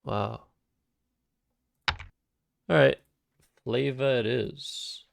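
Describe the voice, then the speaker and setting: A man streaming a word game who thinks aloud about his moves in a calm, soothing, soft-spoken way, recorded on a cheap microphone. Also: medium pitch, very slow, a little hiss or hum. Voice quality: vocal fry. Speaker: Scrabble streamer. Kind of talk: live-streamed game commentary.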